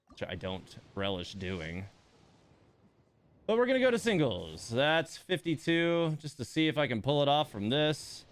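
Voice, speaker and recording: A man's voice: on the low side, a bit bright, clean tone. Man, clean resonant voice, casual livestream commentary, exasperated muttered asides. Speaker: livestream host playing a gacha game.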